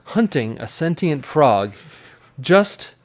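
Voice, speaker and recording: A man's voice: on the high side, big pitch swings, takes it slowly. A middle-aged man co-hosting a casual chat with his son, joking and playfully emphatic. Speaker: co-host and father.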